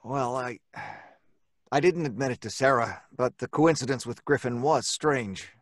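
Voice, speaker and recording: An actor playing a hesitant, protective husband in an old-time radio drama. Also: male voice, mid-range voice, normal speed, no echo, clean studio sound, lightly acted. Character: hesitant, protective husband soothing his wife.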